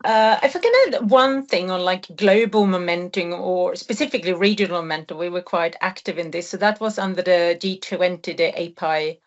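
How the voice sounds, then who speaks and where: A woman with a lowish voice speaks as a guest on a business podcast. She explains things thoughtfully, pausing on fillers as she goes. The recording sounds a little dull and muffled. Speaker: expert guest. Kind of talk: conversational podcast interview.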